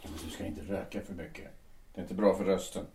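A quiet, fixated theatre makeup artist, played by a man in an audio drama, calm and measured but insistent. His voice sits on the lower side. He sounds set back from the microphone in a roomy space. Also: distant mic.

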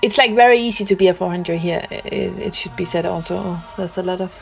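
Gravelly woman, hesitant and thoughtful. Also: fairly low pitch, a little fast. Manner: hesitant, with many fillers and restarts. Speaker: guest musician. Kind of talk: casual conversation.

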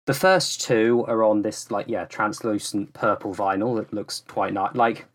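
A man's voice: on the low side, unhurried. A man guesting on a podcast, explaining things in a loose, rambling, casual way. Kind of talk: casual chat.

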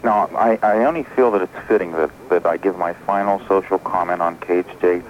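A man playing a mock-important prankster commentator in a comic radio phone-in, halting and deadpan. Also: deep voice, natural voice. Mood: deadpan, solemn. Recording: dull lo-fi radio aircheck with hiss.

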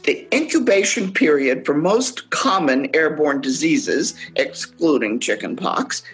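Actress as a prim, fussy woman, animated and measured. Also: low voice, soft background music, poor audio. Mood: exasperated, prim. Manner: precise, prissy, indignant. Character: prim, fussy germophobic woman, anxious and easily exasperated. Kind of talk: radio play acting. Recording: home-recorded virtual radio play.

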